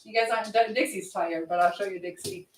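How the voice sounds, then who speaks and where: A woman's voice: mid-range voice, fast talker, a little hiss or hum. A woman hosting a casual live chat, rambling as she reads out and answers viewer comments. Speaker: livestream host. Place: indoors at home, in an echoey room. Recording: echoey room.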